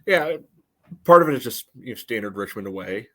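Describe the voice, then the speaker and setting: Man, lowish pitch, sports podcast co-host, casual analysis, conversational, qualifies his points. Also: expressive, brisk, bright, forceful tone.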